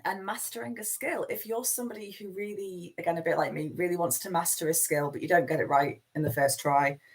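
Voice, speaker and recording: A woman's voice: on the low side, fairly quick, a little hiss or hum. A woman with a Manchester accent giving a meandering, self-deprecating spiritual talk as host of a live session. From Manchester, England.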